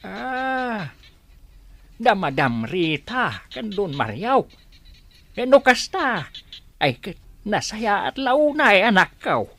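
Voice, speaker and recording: A man's voice: high voice, sing-song, takes it slowly, long pauses, bright, forceful tone, exaggerated voice. A man acting an elderly, fond father in an Ilocano radio drama. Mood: curious. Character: elderly father, fond, grateful, advising his grown child.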